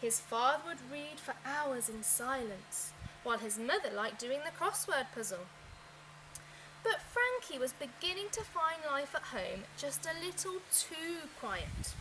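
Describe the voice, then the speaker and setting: A woman reading a children's storybook aloud in an expressive, deliberate way, with dramatic emphasis. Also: sits high, noisy background. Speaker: teacher reading a picture book aloud.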